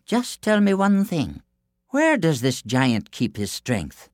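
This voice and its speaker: A man voicing a clever, calm giant's wife in a storybook tale, soothing and sly in a raised voice. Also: fairly high pitch, takes it slowly, dry recording, clean studio sound, voice pushed up, full character voice.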